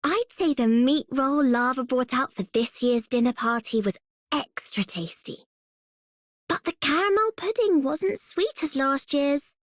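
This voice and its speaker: A woman's voice: sits high, animated, a little slow, no echo, clean studio sound. A woman voicing an earnest, eager young female operator in scripted video game lines. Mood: cheerful.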